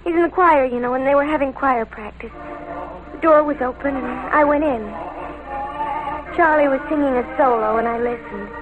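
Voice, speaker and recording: An American actress playing a lovestruck, defiant teenage girl in an old radio drama, with breathless, slangy, halting speech. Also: high voice, phone-quality sound, over music, natural voice. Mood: dreamy, gushing. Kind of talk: 1940s American radio crime drama acting. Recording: noisy vintage radio recording.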